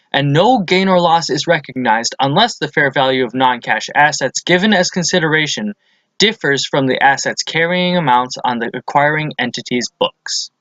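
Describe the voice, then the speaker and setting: A man's voice: a little high, a bit bright, dry recording. A man presents a lesson as scripted instructional narration, explaining things methodically step by step.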